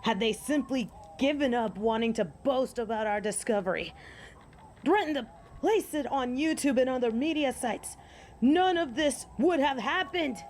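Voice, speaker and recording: A woman's voice: high voice, lively intonation, darkish tone. A woman playing a proud, sardonic female werewolf alpha in a theatrical fantasy audio drama. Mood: stern, commanding. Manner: haughty, theatrical, deliberate. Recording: clear background noise and ambience.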